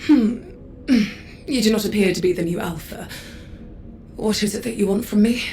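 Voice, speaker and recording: A woman's voice: medium pitch, moderate pace, vocal fry, mellow, soft background music, close to an everyday voice. A woman voicing an imperious adjudicator who speaks in a formal, condescending, clipped way. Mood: haughty. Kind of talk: game cutscene dialogue.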